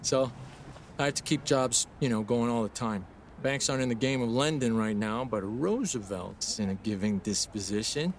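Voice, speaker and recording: A man's voice: medium pitch, moderate pace, slight background noise, natural voice. An actor playing a proud, opinionated 1930s craftsman in a period audio drama, talking in a blustery, emphatic, long-winded way. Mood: boastful. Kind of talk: period radio drama acting.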